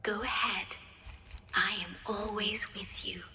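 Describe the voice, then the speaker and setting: An actress playing a gentle, solemn and devoted female guardian in a video game, speaking formally in measured, breathy phrases. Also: medium pitch, steady tone, reverberant, exaggerated voice. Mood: calm, earnest. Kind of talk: scripted video game cutscene acting.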